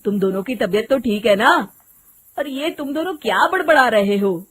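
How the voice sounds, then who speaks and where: A woman's voice: on the high side, expressive, normal speed, a bit bright, breathy edge. A woman voicing a concerned, cautious village man in a folk story, given to exclaiming. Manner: exclaiming, questioning.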